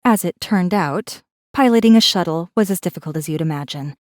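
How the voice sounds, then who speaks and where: Low-voiced, wry woman acting rebel messenger. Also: a little fast, no echo, clean studio sound, close to an everyday voice. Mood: wry, determined. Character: wry, guarded female rebel messenger and secret killer. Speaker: audiobook narrator in first person. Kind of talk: scripted dramatic narration.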